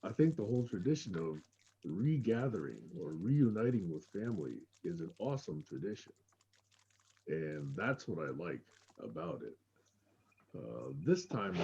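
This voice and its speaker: A man's voice: sits low, mellow, breathy edge, dull audio. A man from Taiwan, talking thoughtfully and earnestly in a casual group chat. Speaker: group participant. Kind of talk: casual chat on a video call.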